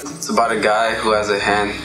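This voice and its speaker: Teen boy, low even voice, distant echoey room, music underneath. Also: brisk. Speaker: student. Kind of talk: documentary interview. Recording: echoey hall from afar with music.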